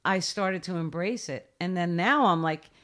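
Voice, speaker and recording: A woman in her sixties talks in a relaxed interview. She is emphatic and direct, driving her points home in short repeated phrases, and her voice sits fairly low. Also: moderate pace. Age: 60s. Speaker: interview guest. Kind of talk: casual interview.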